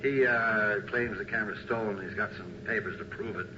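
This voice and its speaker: A man's voice: fairly low pitch, steady tone, lightly acted. Noisy muffled message from a nervous acted man. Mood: uneasy. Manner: halting, hesitant, nervous chuckles. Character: nervous male camera shop acquaintance leaving phone message. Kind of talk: 1980s radio drama acting.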